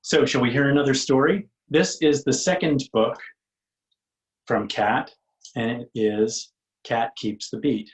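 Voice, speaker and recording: A man's voice: medium pitch, fairly even intonation, unhurried, reverberant, rough recording. A man reading a picture book to young children as its author, in a singsong, inviting way with questions for listeners.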